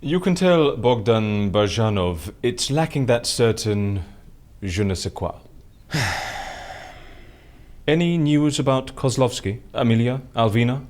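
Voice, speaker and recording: An eccentric captive schemer in an audio drama, with a mid-pitched voice. He is fussy and precise, musing aloud as he plots. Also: lively intonation, takes it slowly, darkish tone. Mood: fussy, questioning. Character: imprisoned male mastermind planning fake assassinations, fussy and eccentric.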